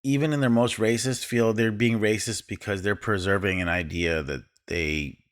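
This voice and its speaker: A man's voice: lowish voice, fairly even intonation, moderate pace. A man co-hosting a podcast, making long, earnest points while analyzing a film. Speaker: podcast co-host.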